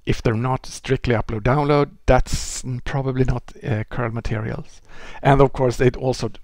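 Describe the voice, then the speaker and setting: A man in his forties with a Swedish accent, giving a relaxed technical lecture over a headset mic, often restarting and filling with "uh" and "you know". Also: mid-range voice, normal speed, no room sound. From Sweden. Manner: relaxed explaining, with frequent restarts and fillers. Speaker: presenter. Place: indoor room.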